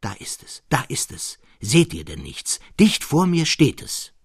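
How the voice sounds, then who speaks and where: A man voicing an excitable fourteen-year-old girl given to breathless, frightened exclamations in a literary story reading. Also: on the high side, a little slow, slightly rough, no echo, studio quality, voice pushed up, heavily acted. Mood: horrified.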